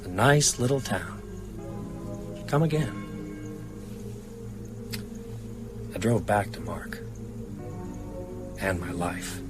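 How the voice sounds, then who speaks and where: Haunted writer, low voice, weary reflective narration, measured, horror audio drama, music underneath. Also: male voice, lively intonation. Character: haunted, weary male novelist recounting vampire horror. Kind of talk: dramatic first-person narration.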